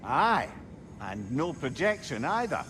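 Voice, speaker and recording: A man's voice: a little high, big pitch swings, takes it slowly, noisy background. A man playing a wry, chatty companion to a warrior in a video game. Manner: wry, familiar. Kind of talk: dramatic video game acting.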